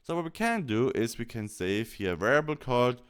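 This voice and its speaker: A man presenting a software tutorial, explaining each step as he goes. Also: mid-range voice, sing-song, brisk, clean tone.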